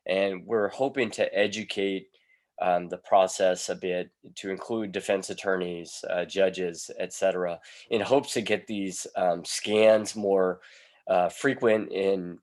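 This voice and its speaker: A man, a police sergeant giving a presentation over a video call, sounding congested from a cold and pausing often with ums. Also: low voice, darkish tone. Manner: hesitant, many ums between phrases.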